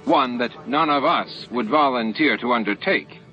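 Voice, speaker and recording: A man's voice: mid-range voice, moderate pace, sharp tone, highs cut off, soft background music, voice pushed up, lightly acted. A man voicing a crafty, genial old male alien elder with a courtly way of talking, in an audiobook. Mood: persuasive.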